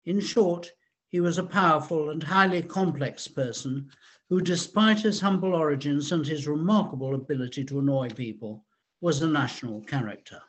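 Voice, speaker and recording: Husky, higher-pitched man narrating history. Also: moderate pace, a bit bright.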